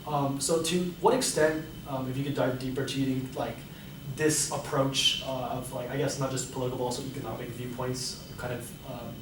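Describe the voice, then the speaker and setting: A man's voice: medium pitch, little pitch movement, a little fast. A man interviewing a city official, asking long, hesitant questions full of fillers. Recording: echoey room, mic far off, noisy.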